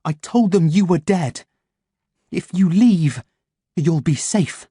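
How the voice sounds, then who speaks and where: A man voicing a fierce female crow leader, speaking higher and harsher, with venom in her voice. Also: big pitch swings, slow pace, gravelly, no room sound, studio quality, heavily acted. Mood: venomous.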